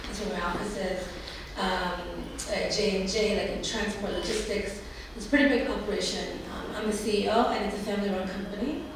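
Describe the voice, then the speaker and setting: A woman's voice: mid-range voice, background noise. A woman alumni panelist from Somalia speaking casually at an information session, with lots of "like" and restarts. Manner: chatty, frequent "like" and restarts. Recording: roomy, distant from the mic.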